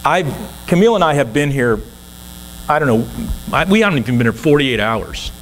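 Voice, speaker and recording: A man's voice: mid-range voice, expressive, a little fast, a little hiss or hum, poor audio. A man in his sixties, a guest minister, preaching an earnest, conversational sermon from the pulpit of a church.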